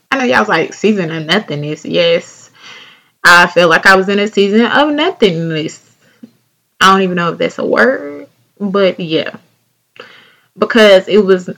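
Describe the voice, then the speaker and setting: A woman's voice: medium pitch, poor audio. A young woman from North Carolina with a Southern accent, hosting a casual solo podcast in a chatty, candid, drawling way. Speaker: podcast host.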